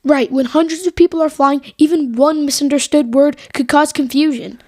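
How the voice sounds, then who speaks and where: A woman's voice: high voice, moderate pace, darkish tone. A woman co-hosting a scripted educational podcast made for children. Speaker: podcast co-host.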